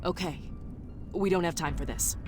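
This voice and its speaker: A woman's voice: fairly low pitch, moderate pace, natural voice. Woman playing an emotional, earnest young heroine. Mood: resolute. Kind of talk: video game cutscene acting. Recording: music under the voice.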